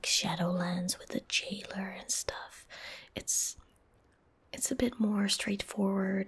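A young woman doing soft-spoken ASMR gameplay commentary, gentle and breathy, close on a studio microphone. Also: medium pitch. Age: young adult. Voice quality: breathy, whispered. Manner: gentle, unhurried, casual. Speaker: ASMR game streamer. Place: indoor streaming room.

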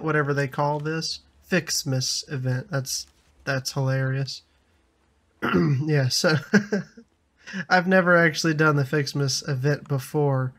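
A man in his thirties with a slightly raspy voice from a lingering cough, chatting casually over a building game and laughing often. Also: fairly high pitch, a bit bright. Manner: chatty, laughs often, frequent ums and restarts. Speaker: gaming YouTuber. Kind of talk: casual gameplay commentary.

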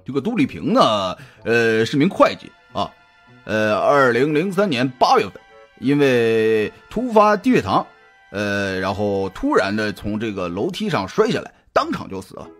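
A man playing a jovial, chatty private detective in an audio drama, talking colloquially with drawn-out hesitations in a husky voice. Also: mid-range voice, very animated, a bit bright. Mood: casual, explaining. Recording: music behind the voice.

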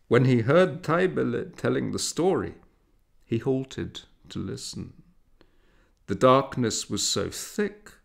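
A man reading a literary story aloud as a narrator, in a measured, expressive way with dramatic emphasis. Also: on the low side, creaky. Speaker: audiobook narrator.

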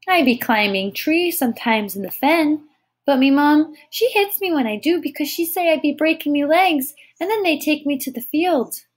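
A woman's voice: sits high, lively intonation, moderate pace, pitched above the performer's own voice. A woman voicing a tiny, feisty toddler girl with childish, rustic, ungrammatical speech in an audiobook. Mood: earnest. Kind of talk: audiobook character voice.

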